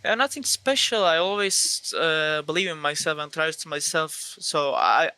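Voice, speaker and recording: Turkish teen guy in an interview, second-language english, higher crisp voice, sure of himself, dry sound. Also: lively intonation, a little slow, bright, forceful tone, no room sound. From Turkey. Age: teens. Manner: self-assured. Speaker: esports player being interviewed. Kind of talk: casual interview answers.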